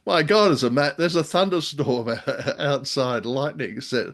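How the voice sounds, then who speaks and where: A man's voice: medium pitch, unhurried, fairly bright tone. A man co-hosting a podcast in casual chat, jovial and rambling, with hesitant phrasing and playful mock-dramatic asides. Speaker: podcast co-host.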